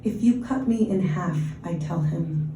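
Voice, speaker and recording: Slow, mellow woman poet in a roomy hall. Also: lowish voice, slight background noise. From Ukraine, raised in the Washington DC area. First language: Russian. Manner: measured, deliberate reading with brief casual asides. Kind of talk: poetry reading. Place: reading venue, roomy space. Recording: roomy live recording from a distance.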